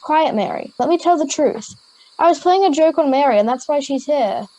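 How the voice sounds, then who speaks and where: Young aussie girl acting brave boarding school kid in a radio play, high pitched, earnest and firm. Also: lively intonation, moderate pace, mellow, low-quality recording. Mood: firm, defiant. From Australia. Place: at home over a video call.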